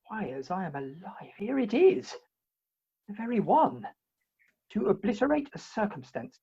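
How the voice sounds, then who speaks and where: A woman playing a flustered, melodramatic Victorian gentleman in a comic radio play, with theatrical, pompous delivery over a home video call. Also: medium pitch, breathy edge, highs cut off. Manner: theatrical, exclamatory, pompous wording.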